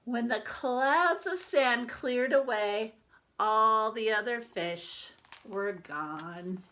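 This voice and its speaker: A woman reading a children's picture book aloud like a teacher, expressively, with deliberate dramatic emphasis. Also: a little high, bright, forceful tone.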